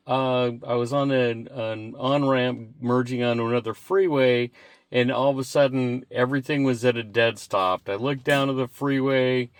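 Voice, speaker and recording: A man's voice: medium pitch, clean tone, dry recording, clean studio sound. An American man in his sixties giving a casual, unscripted product review in a rambling, folksy, plain-spoken way. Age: 60s.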